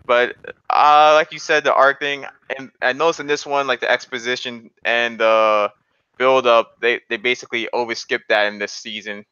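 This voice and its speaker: Bright, clear-voiced man, dry lo-fi mic. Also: medium pitch, flat delivery, moderate pace, no echo. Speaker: podcast host. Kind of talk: casual anime discussion.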